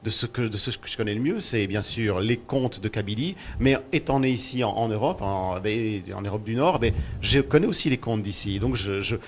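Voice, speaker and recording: A man's voice: on the low side, normal speed, few pauses, slight background noise. A man born in Belgium who works as a storyteller, answering interview questions in a thoughtful way and often repeating words while he looks for the next one. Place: outdoors at a festival.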